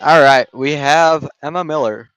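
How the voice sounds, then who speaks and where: Young man calling volleyball, smooth yet slightly raspy. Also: on the high side, a bit bright, no room sound. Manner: casual, halting play-by-play with restarts. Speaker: volleyball play-by-play announcer. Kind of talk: amateur sports commentary. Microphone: headset. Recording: dull, dry gym-stream audio.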